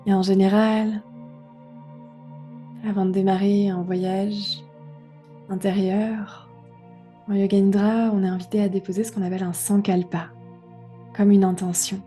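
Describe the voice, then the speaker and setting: A woman guiding a relaxation meditation, giving gentle, unhurried instructions with pauses. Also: mid-range voice, little pitch movement, faint music behind. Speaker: yoga nidra guide.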